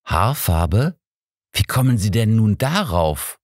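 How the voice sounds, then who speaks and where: A man's voice: on the low side, sing-song, slow pace, no echo, clean studio sound, pitched above the performer's own voice, full character voice. A man voicing a detective's doubting, exasperated companion in an audiobook, questioning and challenging. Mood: scolding.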